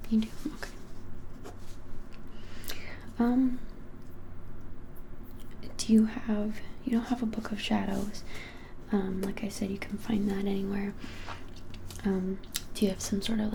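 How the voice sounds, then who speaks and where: A woman in her twenties whispering softly and without hurry in an ASMR roleplay as a shop keeper, often hesitating. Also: medium pitch, flat delivery, vocal fry, noisy background. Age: 20s. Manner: soft whispering, hesitant and unhurried. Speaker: ASMR creator playing a witch shop keeper. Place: small plain-walled room, indoors.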